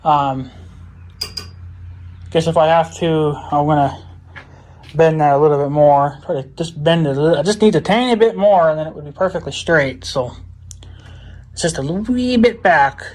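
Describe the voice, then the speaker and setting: A man's voice: fairly high pitch, normal speed, long pauses, a little mellow. A man talking casually to viewers in a hands-on DIY vlog, rambling and thinking aloud in folksy, informal words. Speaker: vlogger.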